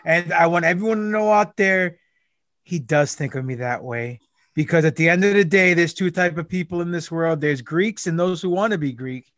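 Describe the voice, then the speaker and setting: Brash high-voiced wrestler cutting promos. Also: male voice, moderate pace, fairly bright tone, no room sound, studio quality. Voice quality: boastful, hammy, trash-talking. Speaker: podcast guest, wrestler. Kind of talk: casual chat in a brash wrestling promo manner.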